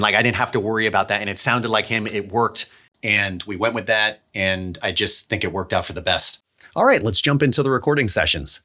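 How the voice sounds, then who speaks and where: A man's voice: fairly low pitch, sharp tone. A man hosting a behind-the-scenes series, explaining his production work in a casual, conversational way. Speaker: audio drama director and series host.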